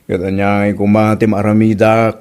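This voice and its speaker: A man's voice: on the low side, monotone, moderate pace, darkish tone, clear, resonant tone, lightly acted. A man playing an exasperated grown son in a family comedy drama, questioning others in an Ilocano accent. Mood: resigned. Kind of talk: radio-style comedy drama acting.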